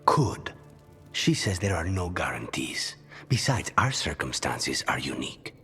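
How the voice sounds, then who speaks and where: An actor playing a brooding, demon-possessed assassin in a video game, grave and measured, his phrases sometimes falling hushed. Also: extremely low pitch, sing-song, soft background music, full character voice. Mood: guarded, resolute. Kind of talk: video game cutscene acting.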